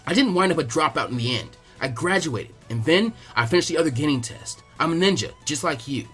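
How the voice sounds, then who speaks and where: A man voicing a brash, stubborn young boy ninja in an animated fan fiction reading, loud, whiny and defiant. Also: fairly high pitch, fairly quick, soft background music. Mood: indignant. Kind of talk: animated audiobook character acting.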